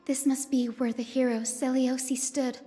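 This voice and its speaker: An actress voicing a gentle, earnest young woman of a sky-dwelling people in a game cutscene, speaking softly like a storyteller. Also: high-pitched, little pitch movement, normal speed. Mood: solemn. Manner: soft, earnest storyteller, rising to solemn invocation. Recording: long reverb with faint music.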